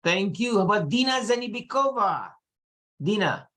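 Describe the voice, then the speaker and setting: Panel moderator, mid-pitched voice, quick prompts to each speaker. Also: lively intonation, pushed and bright. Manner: brisk, prompting speakers by name.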